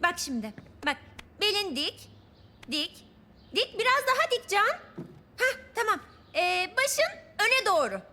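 A woman voicing an eager, meddlesome, cheerful little girl in a children's cartoon, with a fussy, expressive way of talking. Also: very high-pitched, unhurried, long pauses, slight background noise, full character voice. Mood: fussing. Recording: music behind the voice.

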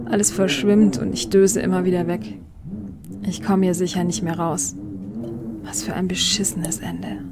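A woman's voice: on the low side, steady tone, normal speed, vocal fry, a loud crowd. Woman playing a desperate young kidnapped heiress. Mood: exhausted, despairing. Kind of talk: audio drama monologue and acting.

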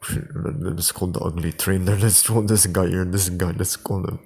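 A man chatting casually and haltingly, improvising as he goes and repeating words. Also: low voice, little pitch movement, a little mellow, breathy edge. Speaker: prank call video creator, host. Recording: noisy home recording.

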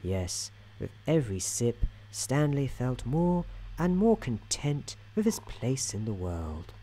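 A man acts out a soothing, whimsical male narrator for a storybook-style ASMR roleplay. His voice is soft and warm, at a middling pitch, with lively rises and falls. He is measured and droll, with a gently patronising touch. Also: a little hiss or hum, low-quality recording.

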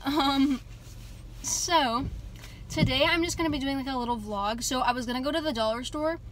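A teenage girl vlogging in a casual, jokey way, her voice a little raspy from short sleep, filmed on a phone at arm's length in a parked car. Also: high voice, moderate pace, a bit bright, slight background noise. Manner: chatty, jokey, lots of "like" and "literally". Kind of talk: casual vlog chat. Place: parked car on a rainy day. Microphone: phone held at arm's length.

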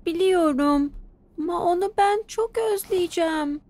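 A woman voicing a gentle, sensitive little preschool boy in a children's cartoon dub, speaking in simple, earnest phrases. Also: extremely high pitch, a little slow. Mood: sad. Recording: studio dub over background music.

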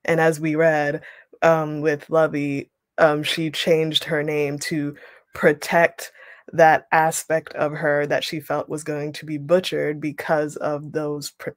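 A young woman leading an online writing workshop, reading a passage aloud and then explaining it with relaxed pauses over a video call. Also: low-pitched, no room sound, studio quality. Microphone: webcam on a video call.

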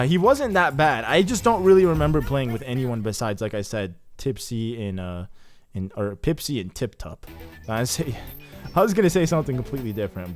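A young man giving casual, excitable let's-play commentary over his gameplay, chatting with jokey asides. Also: medium pitch. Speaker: gaming YouTuber.

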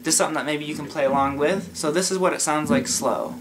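A man with a sore throat teaching a casual guitar lesson, explaining slowly and step by step in a laid-back way. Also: fairly high pitch, heavy background noise. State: sore throat from being sick. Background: his own guitar playing.

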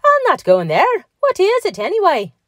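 A woman's voice: fairly high pitch, huge pitch swings, normal speed, slightly rough, dry recording, clean studio sound, voice pushed up, heavily acted. A woman voicing a proud, indignant little man of the fairy folk in a storybook, scolding in formal old-fashioned phrases. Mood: alarmed.

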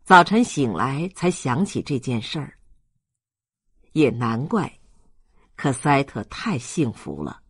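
Expressive, unhurried male audiobook narrator. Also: mid-range voice, breathy edge, no room sound. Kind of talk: expressive scripted literary narration.

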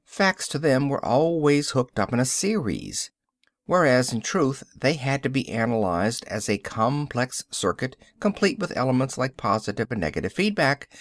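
A man's voice: mid-range voice, dry recording, clean studio sound, natural voice. A man reading Neil, a lecturing scientist. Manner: explanatory, lecturing cadence. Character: adult male social scientist, lecturing and confident. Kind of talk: audiobook character reading.

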